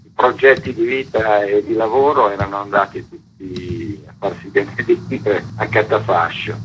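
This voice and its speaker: A man's voice: mid-range voice, a bit bright, muffled recording. Mature man interviewed, faint noise behind. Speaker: theatre maker, interview guest. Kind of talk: explanatory interview talk.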